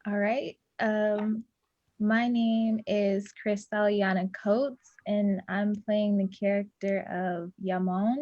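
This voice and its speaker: A woman's voice: mid-range voice, level tone, slow, a little mellow, dry recording. A young woman introduces herself as an actor in a casual way. She takes her time over each phrase. The sound is very dry and a little muffled. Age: 20s. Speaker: stage actor.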